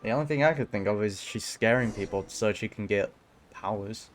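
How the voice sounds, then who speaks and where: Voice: medium pitch, brisk. A streamer giving casual live game commentary in short, informal, muttered reactions. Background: game music.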